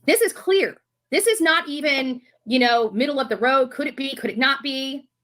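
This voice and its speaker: A woman's voice: high-pitched, moderate pace, fairly bright tone. A woman commentator giving an impassioned monologue to her viewers, emphatic and indignant, repeating herself for force. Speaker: YouTube commentator.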